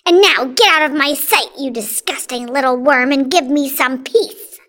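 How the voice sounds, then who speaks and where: A woman's voice: high voice, animated, takes it slowly, full character voice. A woman voicing a vain, bossy, nasty aunt from a children's storybook, theatrical in her gushing and scolding. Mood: angry, scolding. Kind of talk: children's storybook character reading.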